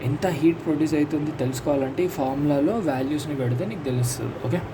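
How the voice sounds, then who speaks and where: A man's voice: on the high side, very fast. A man with a Telugu accent giving an informal lesson as a teacher, explaining notes step by step and repeating points as if to one student. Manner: explanatory, repetitive, addressing a student directly. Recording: clear steady background noise.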